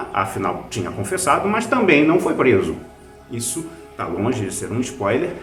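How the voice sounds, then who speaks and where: Brazilian man narrating with very lively intonation. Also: medium pitch, reverberant. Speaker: host, writer and reviewer. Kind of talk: scripted podcast review narration.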